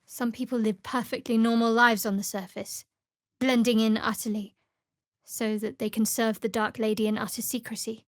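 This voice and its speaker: A woman playing a guarded, sardonic cleric, higher-pitched and dry. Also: flat delivery, dry recording, natural voice. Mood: guarded, composed. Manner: dry, wry, measured delivery. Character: guarded, sardonic young woman cleric devoted to a dark goddess. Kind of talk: video game character voice lines.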